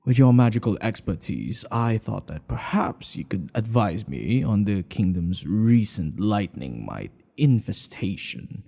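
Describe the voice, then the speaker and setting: A man acting as an older king in an intimate ASMR roleplay, tender and teasing with his secret lover. His voice is low and mellow with a gravelly fry. He phrases things in a courtly, regal way, breathy and unhurried. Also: very animated, heavily acted. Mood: formal, courtly. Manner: courtly formal phrasing, flirtatious and playful.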